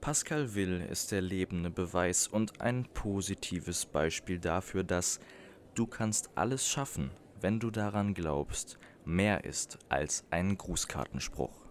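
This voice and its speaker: A man reading a scripted documentary narration in a measured, deliberate voice-over. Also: deep voice, a little hiss or hum.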